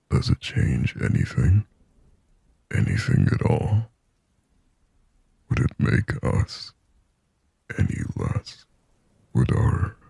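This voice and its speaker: A man playing a lonely, yearning sleep paralysis demon in a slow, breathy, raspy ASMR whisper, close to the microphone. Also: rumbling low, dark tone, no echo, exaggerated voice. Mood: tender longing. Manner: slow, brooding, pleading, poetic. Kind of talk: whispered ASMR roleplay monologue.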